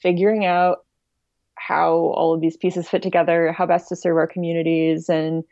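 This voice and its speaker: Female podcast guest, relaxed dark-toned voice, casual conversational delivery, close dry sound a little dull. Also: on the low side, normal speed, no room sound. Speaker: podcast guest, online music archive director.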